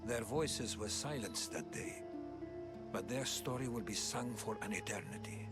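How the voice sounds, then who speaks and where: A man's voice: on the low side, lively intonation, over loud music. Earnest, learned ancient Greek man. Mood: solemn, reverent. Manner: grave, measured, storytelling delivery. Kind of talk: dramatic video game acting.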